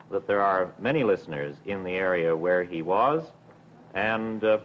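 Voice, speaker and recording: A middle-aged man, a broadcasting official, answering in a measured, careful, formal way with some hesitation in a 1950s television interview. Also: lowish voice. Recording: dull 1950s television recording with hum.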